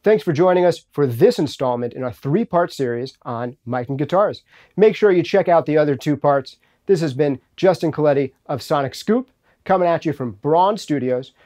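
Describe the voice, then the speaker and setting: Higher-pitched man presenting a tutorial. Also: a little mellow. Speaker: audio engineering video host and instructor. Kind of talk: instructional presentation to camera. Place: recording studio rooms, indoors.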